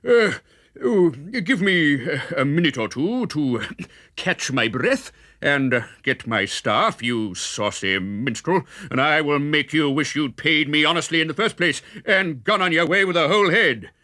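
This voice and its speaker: A man's voice: on the high side, expressive, measured pace, bright, forceful tone, dry recording, clean studio sound, voice pushed up. A man voicing a huge, blustering friar in a children's storybook, pompous and good-humoured, puffing between phrases. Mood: breathless, indignant. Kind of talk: children's storybook character reading.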